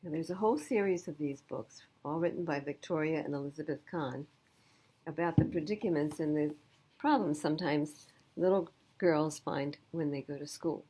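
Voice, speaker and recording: Husky, lowish-voiced woman at storytime. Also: moderate pace, dark tone, rough recording. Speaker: library storytime reader. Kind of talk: children's storytime narration and announcements.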